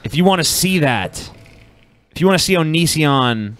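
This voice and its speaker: A man's voice: fairly high pitch, lively intonation, moderate pace, a bit bright, dry recording. A man co-hosting a podcast in casual chat, sardonic and foul-mouthed. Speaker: podcast co-host.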